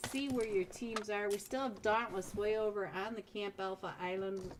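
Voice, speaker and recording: A woman casting a mobile esports match live as a solo caster, chatty and talking straight to viewers, with a voice she says is going hoarse. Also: mid-range voice, fairly even intonation, moderate pace, slight background noise. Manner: chatty, talks straight to viewers, frequent restarts. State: losing her voice. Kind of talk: live game commentary.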